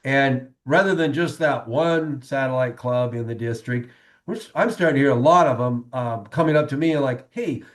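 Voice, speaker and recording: A man hosting a casual podcast chat, upbeat and chatty with a slightly raspy voice. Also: medium pitch, normal speed. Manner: upbeat, chatty, frequent fillers. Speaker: podcast host.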